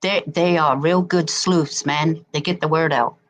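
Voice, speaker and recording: A woman's voice: low-pitched, steady tone, a little slow. A woman from Wisconsin, a guest in a casual chat. She is chatty and repeats herself.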